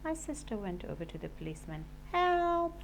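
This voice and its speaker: A woman's voice: lowish voice, big pitch swings, moderate pace, a little mellow, slight background noise, rough recording. A woman reading a children's story aloud expressively, like a class teacher.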